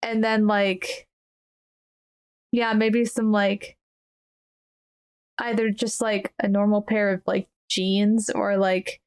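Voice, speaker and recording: A woman's voice: medium pitch, flat delivery, slow, pauses often, clear, resonant tone. A woman playing a tabletop roleplaying game, chatting casually and musing tentatively over ideas. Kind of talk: casual collaborative chat.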